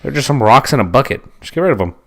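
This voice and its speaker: A man's voice: mid-range voice, animated, vocal fry, fairly bright tone. A man co-hosting a casual podcast, joking and asking lots of questions. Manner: quick, jokey, asks lots of questions. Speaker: podcast co-host.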